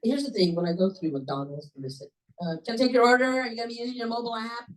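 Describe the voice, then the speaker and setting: A woman with a low voice joking casually with fellow club members. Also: very fast, far from the mic, poor audio. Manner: joking, animated. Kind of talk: casual chat.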